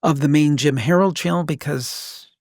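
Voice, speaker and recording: A man's voice: a little high, moderate pace, a little mellow, no room sound, clean studio sound. A man hosting a podcast interview in an upbeat, encouraging manner. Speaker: podcast host.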